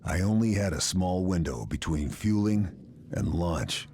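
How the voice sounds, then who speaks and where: Game narrator: steely, weary wartime sniper, extremely low voice, terse grave delivery, scripted cinematic read. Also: male voice, animated, a little slow, darkish tone, slight background noise. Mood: grim determination. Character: weary, steely American WWII sniper recounting his mission.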